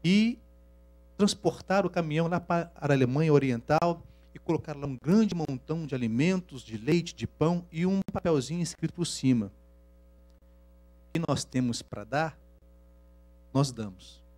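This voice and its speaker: A man from Rio with a Minas Gerais accent, preaching a sermon, swinging from hushed asides to loud, drawn-out emphasis. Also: a little high, lively intonation. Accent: Minas Gerais Portuguese. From Rio de Janeiro, Brazil. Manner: shifts from hushed asides to loud, slow emphatic scripture reading. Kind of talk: sermon to a congregation.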